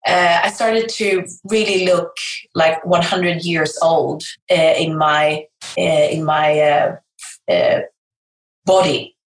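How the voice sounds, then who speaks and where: A woman's voice: low-pitched, fairly even intonation, takes it slowly, reverberant. A middle-aged woman from Sweden with a Swedish accent, a guest telling her story hesitantly with many restarts, her first language being Swedish. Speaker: guest, fitness and yoga educator. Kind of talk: interview, personal story. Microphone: video call.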